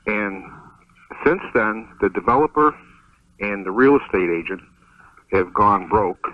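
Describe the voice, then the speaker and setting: An American man phoning a radio show, speaking haltingly over a dull, distant phone line. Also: on the low side, animated, no room sound. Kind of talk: conversational phone call.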